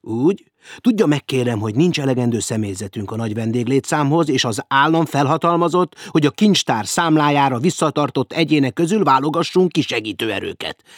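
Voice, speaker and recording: Brief: man doing a stern, commanding official, husky and firm. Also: mid-range voice, expressive, dry recording, studio quality, close to an everyday voice. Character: stern strict male hotel official.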